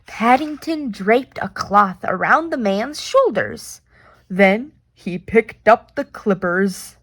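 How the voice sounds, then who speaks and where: A woman reading a children's picture book aloud in an expressive, deliberate way with playful reactions. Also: mid-range voice, no room sound.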